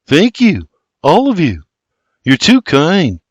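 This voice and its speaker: A man's voice: a little high, wildly swooping pitch, takes it slowly, no room sound, pitched above the performer's own voice, heavily acted. A man voicing a shy, humble young Irish farmhand with an Irish accent who speaks earnestly and stammers.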